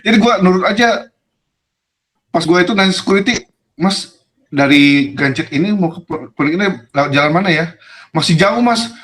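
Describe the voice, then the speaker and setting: A man's voice: sits high, lively intonation, normal speed, long pauses, fairly bright tone. Young male guest with a regional accent and a raised, laid-back voice. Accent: non-Jakarta regional Indonesian. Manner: laid-back, rambling with fillers and laughs. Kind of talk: casual storytelling chat.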